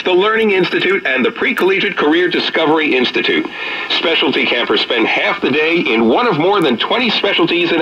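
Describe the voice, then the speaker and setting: Lively, bright male radio ad voice. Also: a little high. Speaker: radio advertisement voice. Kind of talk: scripted radio commercial. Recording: dull old AM radio sound.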